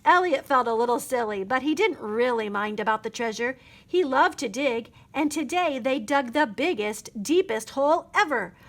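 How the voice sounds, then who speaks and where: A woman's voice: sits high, expressive, moderate pace, a bit bright. A woman reading a picture book aloud expressively as a children's librarian at storytime.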